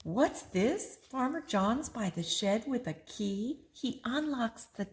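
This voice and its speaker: A woman reading a rhyming picture book aloud to children, expressively, pausing for a child to join in. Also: fairly high pitch. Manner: expressive, prompting a child to fill in rhymes. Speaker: story reader and co-host.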